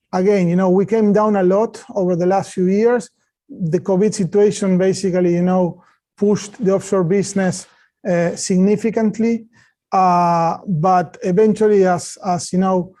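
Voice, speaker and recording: High-pitched, mellow man, halting delivery. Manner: halting, repeats words. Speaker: company executive. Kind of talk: earnings call answers.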